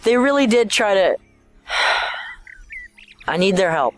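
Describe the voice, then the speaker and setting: A woman's voice: medium pitch, wildly swooping pitch, takes it slowly, a bit bright, faint music behind, heavily acted. A woman voicing a withdrawn, troubled schoolboy in an audio drama, subdued and terse. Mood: pleading. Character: withdrawn, troubled schoolboy, reluctant with his mother.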